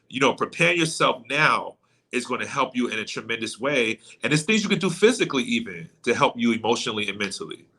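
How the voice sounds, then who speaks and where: A man with a bright, punchy voice at a middling pitch talks as a pastor guest on an interview show. He teaches in a conversational way, explaining step by step and restating his main points. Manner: explanatory, affirming, repeats key points. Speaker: guest, pastor and trauma coach.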